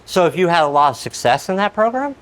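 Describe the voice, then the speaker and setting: A man co-leading a training demonstration, explaining in measured, articulate, long sentences in a conversational way. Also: high voice, very animated, fairly bright tone, slight background noise. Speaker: outreach program manager, co-trainer.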